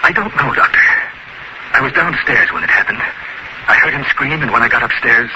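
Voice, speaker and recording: An actor playing a nervous, haunted gentleman scholar recounting his terror in agitated, dramatic old radio horror style. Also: medium pitch, expressive, brisk, natural voice. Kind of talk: 1940s radio horror acting. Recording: noisy, dull old radio drama recording.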